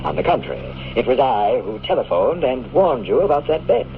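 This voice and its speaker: A very tinny, noisy vintage radio recording with a coolly menacing expert. He talks formally and deliberately, swinging widely in pitch, with smooth control and veiled threat in old radio-drama style. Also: very animated. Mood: cool, superior. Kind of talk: 1950s radio drama acting.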